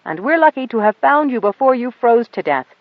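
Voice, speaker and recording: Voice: medium pitch, sing-song, a little fast, no echo, narrow, tinny audio, pitched above the performer's own voice. An audiobook narrator voicing a kind, blunt airship pilot with a folksy way of talking. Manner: warm, folksy, drops g's.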